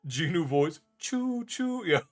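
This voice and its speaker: A man's voice: fairly high pitch, big pitch swings, slow pace, soft background music. A man in his thirties from Ireland with an Irish accent mixed with American, chatting casually with viewers as a streamer and thanking them often.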